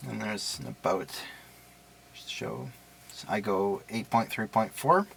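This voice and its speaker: A man's voice: lowish voice, takes it slowly, gravelly, a bit bright, slight background noise. A man giving a casual, unscripted product walkthrough in a matter-of-fact, explanatory way. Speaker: product reviewer and demonstrator.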